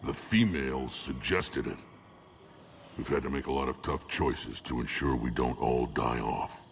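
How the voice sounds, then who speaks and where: An actor playing a gruff, proud, battle-hardened alien warlord in a video game, with a gravelly rumble and blunt, dry humor. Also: male voice, measured pace, full character voice. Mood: gruff, resolute. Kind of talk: video game cutscene acting.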